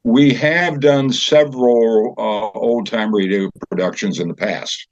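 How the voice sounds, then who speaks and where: An older man being interviewed as a guest over a remote call, explaining things in a measured, halting way. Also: medium pitch, dry recording. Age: older, 70s or so. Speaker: guest from a community theater group. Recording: dull, band-limited call audio.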